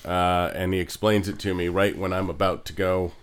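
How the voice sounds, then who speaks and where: A man's voice: low voice, level tone, normal speed, clean tone. A man co-hosting a podcast in casual chat, with a laconic, dry way of talking. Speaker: podcast co-host.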